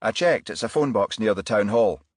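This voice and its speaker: A man's voice: lowish voice, animated, moderate pace, no room sound, studio quality, pitched above the performer's own voice. A man voicing an eager, deferential junior detective who reports promptly in clipped phrases, in a crime audiobook. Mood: eager, reporting.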